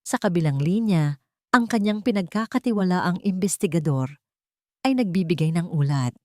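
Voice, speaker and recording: Lady telling a dramatic suspense story, kinda low voice, close dry sound. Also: expressive, moderate pace, no echo. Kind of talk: scripted dramatic narration of a romance suspense story.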